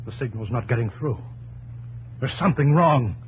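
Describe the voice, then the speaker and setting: Man playing an anxious, driven inventor, animated pitch. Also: background noise, lightly acted. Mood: alarmed, frustrated. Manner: urgent, halting, repeating words. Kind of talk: 1950s radio drama acting. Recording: old radio broadcast with organ music.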